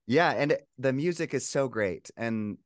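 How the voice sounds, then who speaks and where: A man's voice: mid-range voice, lively intonation, unhurried, dry recording, studio quality. A young man chatting casually as a co-host on a podcast. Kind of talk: casual chat.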